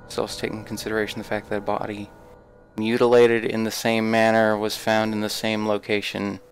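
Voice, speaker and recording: A man's voice: lowish voice, monotone, fairly quick, faint music behind. A man giving casual, wry and slightly uneasy commentary as he plays a horror game. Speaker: let's play gamer.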